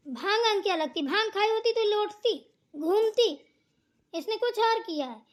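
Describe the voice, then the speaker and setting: Woman doing a very squeaky high cartoon bahu, whiny tattletale, catty and indignant. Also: lively intonation, normal speed. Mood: accusing. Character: tattling, indignant elder daughter-in-law, young and catty. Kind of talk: amateur Hindi family cartoon dialogue.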